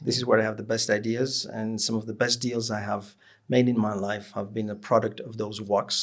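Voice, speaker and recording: A man in his late forties answers interview questions in a low register. His voice has a lot of fry in it. He talks reflectively, pausing to search for the right phrase. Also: flat delivery. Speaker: interview guest, business executive.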